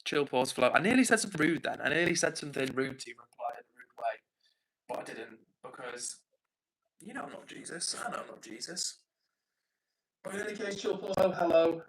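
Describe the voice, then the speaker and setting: A man's voice: fairly high pitch, expressive, brisk, fairly bright tone, breathy edge, low-quality recording. A young man from England hosting a livestream, chatting casually and self-deprecatingly while reading out viewer comments. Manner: chatty, self-deprecating, apologetic, laughs often. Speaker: livestream host.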